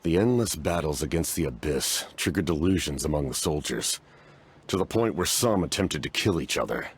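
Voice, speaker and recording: An actor playing a stoic, war-weary knight-commander in a fantasy game, speaking formally and gravely. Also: male voice, a bass voice, expressive, moderate pace, full character voice.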